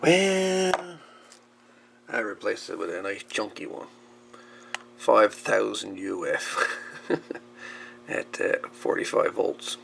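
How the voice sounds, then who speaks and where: Male hobbyist repairer, very gravelly, on the low side; unscripted, folksy how-to delivery over faint background noise. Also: lively intonation, slow pace, pauses often, fairly bright tone. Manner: informal, folksy, calls the radio "her". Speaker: radio repair hobbyist presenting a how-to video. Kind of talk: casual hands-on demonstration talk.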